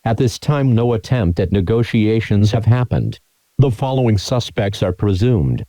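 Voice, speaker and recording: A man reading scripted formal emergency broadcast announcements in a flat, impersonal, measured way. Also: fairly low pitch, slight background noise.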